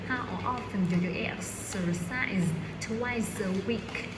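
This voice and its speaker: A woman learning English reads practice questions and answers aloud, haltingly, often repeating words and restarting phrases. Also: mid-range voice. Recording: echoey room with clear background noise.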